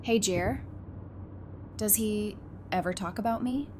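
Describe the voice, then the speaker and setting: A woman's voice: mid-range voice, slow pace, darkish tone, slight background noise, close to an everyday voice. A woman acting a wry, guarded, tough-minded survivor in frank, conversational audio drama dialogue. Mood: hesitant, vulnerable.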